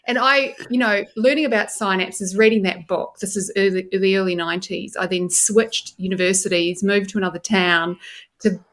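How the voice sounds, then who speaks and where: A woman in her forties with a New Zealand accent, explaining and telling stories as an enthusiastic, expressive podcast guest. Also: mid-range voice, moderate pace, poor audio. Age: 40s. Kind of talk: conversational interview, explaining and storytelling.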